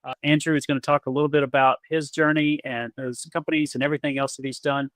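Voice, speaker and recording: A man's voice: fairly high pitch, little pitch movement, quick pace, a bit bright, no echo, poor audio. A man hosting a podcast, talking casually and rambling a little with frequent restarts. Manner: rambling, friendly, many restarts. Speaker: podcast host. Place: Texas.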